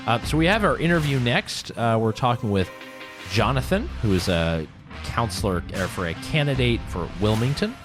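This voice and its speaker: A male radio talk show host giving opinionated commentary in a sarcastic, animated way. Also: mid-range voice, moderate pace, music in the background. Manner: animated, sarcastic, laughs while ranting.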